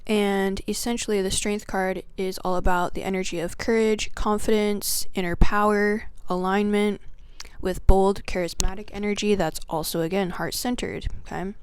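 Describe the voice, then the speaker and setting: A woman teaching the zodiac signs in a spiritual video that opens with a prayer. She speaks reverently, draws her phrases out, drops into soft whispers and lists qualities one word at a time. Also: medium pitch, level tone, clean tone, dry recording. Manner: slow, drawn-out delivery with soft whispered phrases. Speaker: astrology teacher.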